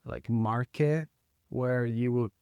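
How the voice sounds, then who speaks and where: A man in his thirties from Chile, with a Chilean Spanish accent, talking as a guest in a casual interview, halting and full of fillers and restarts. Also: mid-range voice, steady tone, a little mellow, smooth, no room sound, highs cut off. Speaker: guest, mountain bike guide.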